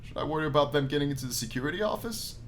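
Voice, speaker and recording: A man plays a young night guard in a visual novel game. He is new and unsure, asking things in a casual, hesitant way. His voice is fairly high, over a noisy background. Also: expressive, rapid-fire, lightly acted. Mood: uneasy, questioning.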